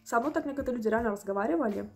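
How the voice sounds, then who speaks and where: A young woman in her twenties telling a story to the camera from a small room at home, animated and indignant. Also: fairly high pitch, racing, faint music behind. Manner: animated, indignant, acts out customers' voices. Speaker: vlogger.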